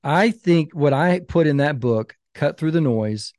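Man, 50s, podcast guest, animated, emphatic, warm mellow voice, dry close sound. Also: fairly high pitch, unhurried, no room sound. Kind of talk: casual podcast conversation.

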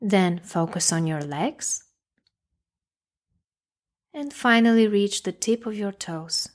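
A woman narrating a guided meditation, speaking calmly in measured phrases with long pauses. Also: mid-range voice.

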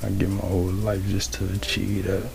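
A man's voice: deep voice, monotone, creaky, crowd noise. A nineteen-year-old man musing in a freestyle monologue, slangy and profane, sometimes dropping to a whisper. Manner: slangy, profane, slow reflective talk, drops into whispers. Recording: noisy background ambience.

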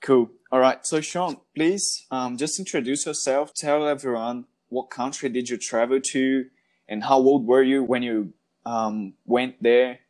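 A man's voice: medium pitch, steady tone, measured pace, darkish tone. Brazilian man hosting in English. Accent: Brazilian Portuguese. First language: Portuguese. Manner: friendly, careful non-native phrasing. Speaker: podcast host. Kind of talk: casual interview.

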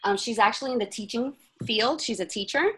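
A woman's voice: a little high, brisk, fairly bright tone, husky. A woman speech-language pathologist answering interview questions hesitantly, with frequent fillers.

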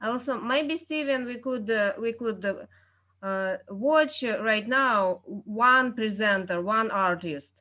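Woman co-host, bright higher voice, halting welcomes. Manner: halting, many "uh" fillers. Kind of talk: welcoming participants on a video call. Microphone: video call.

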